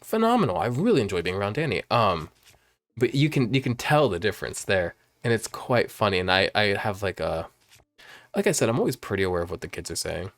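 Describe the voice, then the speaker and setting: A man in his late twenties chatting casually in a vlog, rambling and jumping up in pitch when excited, filmed on a phone at home. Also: brisk. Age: 20s. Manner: rambling, animated, swings high when excited. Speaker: vlogger. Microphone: phone camera.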